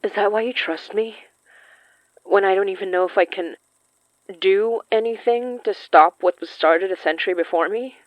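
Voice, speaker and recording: A woman's voice: mid-range voice, moderate pace, no room sound, highs cut off, close to an everyday voice. An actress playing an earnest, troubled young woman, speaking hesitantly and thoughtfully in a naturalistic audio drama. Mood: uncertain.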